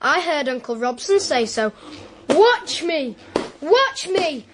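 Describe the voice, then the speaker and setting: A woman playing a spoilt, boastful little English boy in a period audio drama, bossy, excitable and cruel. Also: high voice, slow pace, noisy background, heavily acted.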